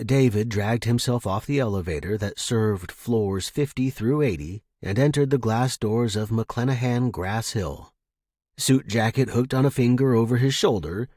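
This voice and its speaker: A man's voice: on the low side, a little mellow, slightly rough, no room sound, studio quality. A man reading a short story as scripted, dramatic narration for a fiction podcast.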